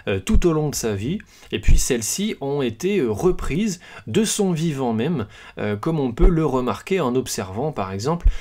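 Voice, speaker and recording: A man hosting a podcast, talking through a book's ideas in a loose, unscripted way, with frequent pauses and restarts. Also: medium pitch, a little mellow. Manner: hesitant, many fillers and restarts; self-deprecating humor. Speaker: podcast host presenting a book. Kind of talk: casual spoken book presentation.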